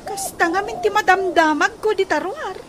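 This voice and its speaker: A woman's voice: very high-pitched, big pitch swings, moderate pace, a crowd in the background. A woman acting a curious young daughter questioning her mother in an Ilocano Filipino radio drama. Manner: inquisitive.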